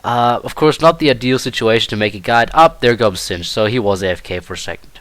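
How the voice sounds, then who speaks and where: A young man whose first language is German talks through his gameplay in a loose, halting way, often stopping to restart a thought. His voice sits at a middle pitch. He is recorded dry and close at a desk mic. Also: a bit bright, no echo. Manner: hesitant, many fillers and restarts. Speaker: gameplay commentator. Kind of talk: casual game tutorial commentary.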